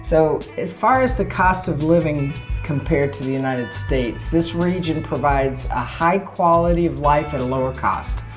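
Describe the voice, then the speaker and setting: Woman, very low voice, co-host reading notes, chatty asides, faint music behind. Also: moderate pace, hardly any pauses. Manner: chatty, reads facts then adds asides. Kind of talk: casual chat, reading notes.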